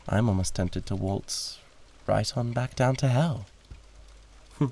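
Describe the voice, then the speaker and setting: A man's voice: mid-range voice, slow, creaky, darkish tone. A British man playing a suave, condescending, flirtatious demon in a theatrical roleplay audio drama, with a haughty drawl. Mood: disdainful.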